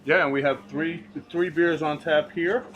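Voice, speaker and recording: A man's voice: a little high, steady tone, a little slow, fairly bright tone, lo-fi sound, a little hiss or hum. A man who works at a bar, explaining things in a casual, conversational way. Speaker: bar or restaurant staff.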